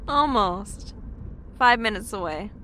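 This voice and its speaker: A woman's voice: mid-range voice, expressive, takes it slowly, sharp tone, slight background noise, low-quality recording. A woman playing a friendly, slightly flustered young working woman in an audio drama, polite and a little hesitant. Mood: casual.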